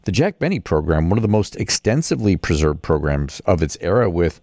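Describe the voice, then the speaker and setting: American man, low-pitched voice, radio host, conversational interviewing, close dry sound. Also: sing-song, fairly quick, darkish tone, dry recording.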